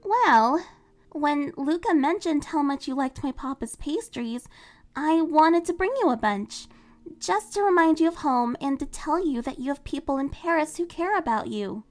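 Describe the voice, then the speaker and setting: A woman voicing a cheerful, eager teenage girl heroine who talks in a bubbly, excitable way. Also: high voice, moderate pace, pitched above the performer's own voice. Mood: upbeat.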